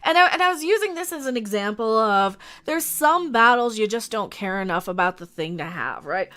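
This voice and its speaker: Woman streamer, sniffly, high and crisp voice, blunt rambling live-stream chat. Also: animated, normal speed, bright, forceful tone. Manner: rambling and blunt, with frequent restarts and asides to chat. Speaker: online commentator hosting a live stream.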